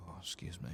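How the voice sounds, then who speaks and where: A soft-spoken man with a husky, gravelly voice, murmuring unhurriedly as he talks through a video game in ASMR style. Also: a little hiss or hum.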